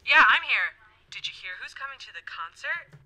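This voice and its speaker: A woman's voice: sits high, big pitch swings, normal speed, pushed and bright, exaggerated voice. A woman acting in a short demo clip as someone chatting casually on a phone call. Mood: chatty.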